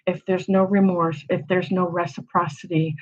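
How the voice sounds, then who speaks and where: A woman's voice: lowish voice, flat delivery, normal speed, a little mellow. A woman hosting an astrology channel, giving a casual, rambling forecast full of rhetorical questions. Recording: slightly dull lo-fi audio.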